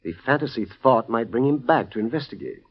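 Calm fussy shrink in an old radio play, breathy and singsong, dull old recording. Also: male voice, medium pitch, a little fast. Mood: explaining. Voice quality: husky, lively, soothing bedside manner. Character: smooth-talking male psychiatrist, calm, fussy. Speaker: doctor. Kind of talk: 1950s radio drama acting.

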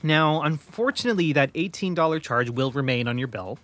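A man's voice: fairly high pitch, expressive, fairly bright tone, no echo. Courteous young male call-centre rep. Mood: polite, helpful. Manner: courteous, measured, hesitant when personal. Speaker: customer service representative. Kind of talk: naturalistic audio drama phone dialogue.

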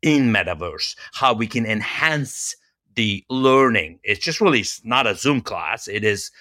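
Podcast guest, male, upbeat, bright tone, pitched a bit low. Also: animated, no echo, studio quality. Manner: upbeat, many "uh" pauses, nonnative phrasing. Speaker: director of a university hospitality incubator, guest. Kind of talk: podcast interview.

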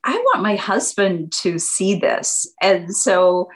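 A woman in her fifties, a guest chatting casually in an interview, animated and acting out what her friends said. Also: takes it slowly. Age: 50s. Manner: animated, acts out quoted friends in a higher voice. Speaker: guest entrepreneur.

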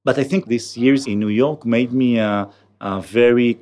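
A man's voice: mid-range voice, moderate pace, a little mellow. A middle-aged man from Israel with an Israeli accent, answering interview questions earnestly with many fillers and restarts. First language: Hebrew. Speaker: CEO guest.

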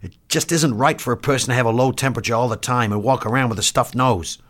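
A man's voice: sing-song, brisk, a bit bright. A man voicing a glum, self-pitying military doctor in an audiobook, complaining in exasperated indignation. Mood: peevish, glum. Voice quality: shrill when upset.

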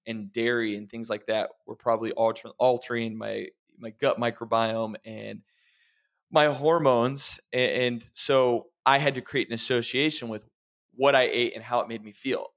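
A man with an ordinary mid-range voice talks things through in a relaxed, reflective way, often turning to his listeners to encourage them. He sounds like a health coach in an easygoing podcast conversation. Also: mid-range voice. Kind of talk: casual podcast chat.

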